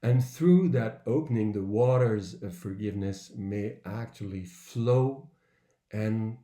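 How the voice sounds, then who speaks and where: A man giving an earnest, measured public talk with personal anecdotes, in broken phrases with pauses. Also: on the low side, mellow. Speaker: author and workshop speaker. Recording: roomy, echoing sound.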